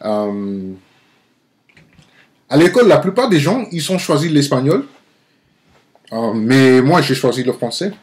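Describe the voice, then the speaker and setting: A man in his twenties with an American accent, a native English speaker born in Nigeria, talking casually to camera as an amateur vlogger, haltingly searching for words and correcting himself. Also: medium pitch, big pitch swings. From Nigeria-born, grew up in the United States. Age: 20s. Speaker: amateur video blogger.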